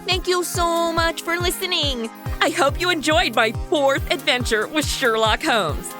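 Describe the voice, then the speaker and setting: A woman playing a brash, excitable, chatty young woman who hosts a comedy audio drama. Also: high voice, a little slow, music in the background. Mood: friendly, upbeat. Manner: exclamatory, chatty, teasing. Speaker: show's lead and host.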